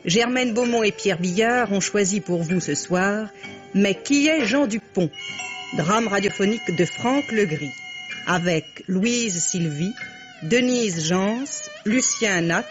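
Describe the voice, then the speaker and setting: A man announcing the credits of a mid-century radio drama in a formal, emphatic manner. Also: high-pitched. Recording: muffled vintage radio recording. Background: loud theme music.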